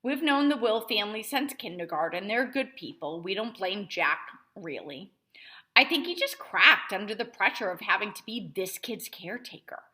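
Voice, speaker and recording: A woman reader plays a self-righteous mother holding forth to other parents in a children's story. Her voice is bright and forceful, swinging widely in pitch as she complains with heavy emphasis. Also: lively intonation, normal speed. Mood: smug, emphatic. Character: indignant self-righteous mother rallying other school moms. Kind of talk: children's novel read-aloud character voice.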